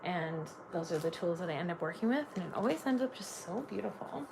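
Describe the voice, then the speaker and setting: A woman's voice: fairly low pitch, expressive, brisk, gravelly, background noise. A woman from Canada giving a live tarot reading, chatty and gushing, full of "like" fillers. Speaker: tarot reader.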